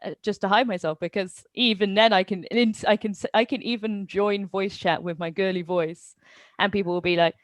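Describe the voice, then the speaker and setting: A woman's voice: medium pitch, steady tone, brisk, no room sound. A woman hosting a webinar and chatting casually about herself in a hedging, self-deprecating way. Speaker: webinar host, gaming community organiser. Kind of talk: casual personal chat.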